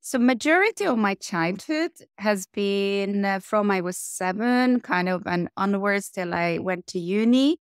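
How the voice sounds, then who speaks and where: Smooth-voiced woman, lively interview guest. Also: medium pitch, a little slow, few pauses, no room sound, clean studio sound. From Iran, raised in Norway. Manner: lively and reflective, lists things in runs. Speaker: interview guest, tech executive. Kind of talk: casual interview answers.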